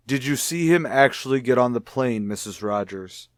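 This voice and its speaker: An actor playing a calm, persistent police lieutenant in a radio detective drama, formal and politely insistent. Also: male voice, medium pitch, normal speed, dry recording, clean studio sound, close to an everyday voice. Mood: politely probing. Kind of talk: old-time radio detective drama acting.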